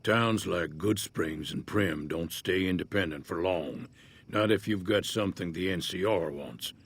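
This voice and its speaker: An actor playing an easygoing older American prospector in a Western-style video game, folksy and laconic in manner. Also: male voice, low-pitched, measured pace, breathy edge, full character voice. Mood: measured, wary. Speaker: game character, townsfolk prospector. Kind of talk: video game character dialogue.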